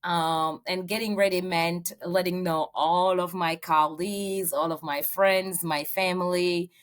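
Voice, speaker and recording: A woman from Senegal with a fairly low voice, talking through her career story as an interview guest. She speaks earnestly, with frequent um and uh fillers. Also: fairly even intonation, unhurried. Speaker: real estate agent, guest. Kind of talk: interview answers.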